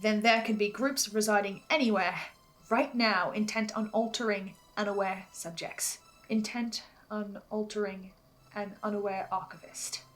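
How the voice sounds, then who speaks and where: A woman's voice: medium pitch, fairly even intonation, moderate pace, low-quality recording. A woman with a mid-range voice presents scripted theory analysis over music. She words things precisely and delivers them with an earnest, theatrical touch. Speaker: host of a fan theory video series. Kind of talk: scripted analysis narration with dramatic asides.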